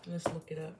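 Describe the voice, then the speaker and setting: A woman with a Southern US accent giving an informal tarot reading, speaking bluntly and emphatically and often repeating herself. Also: very noisy. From southern United States. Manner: emphatic, repetitive, blunt, checks in with "okay?". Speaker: tarot reader.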